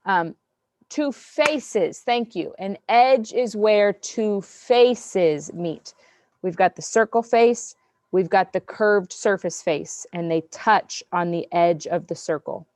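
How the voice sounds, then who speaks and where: Woman teacher, slow patient delivery, dry close video-call sound. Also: mid-range voice, darkish tone, no echo. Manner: patient, step-by-step counting aloud. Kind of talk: online math class review.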